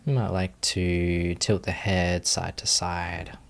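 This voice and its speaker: A man leading a guided meditation as a teacher, giving gentle instructions with long pauses. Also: low-pitched, level tone, gravelly.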